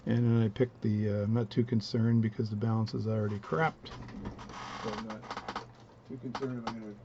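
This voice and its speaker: A man with a low voice talking through his watch repair at a home workbench, relaxed and rambling as he narrates each step. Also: fairly quick, lo-fi sound, background noise. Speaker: watchmaking hobbyist demonstrating. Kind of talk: casual bench demonstration, talking while working.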